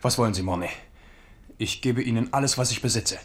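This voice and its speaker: A man's voice: medium pitch, brisk, lightly acted. An actor playing an impetuous, mocking young king in a German radio drama, with a scornful, commanding manner. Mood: concerned.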